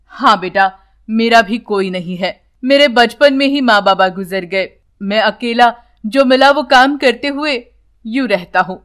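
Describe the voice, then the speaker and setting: Elderly boatman, kind and lonely, for a children's cartoon tale, read by a woman in a high register; unhurried, gentle. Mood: wistful. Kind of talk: children's animated story dialogue.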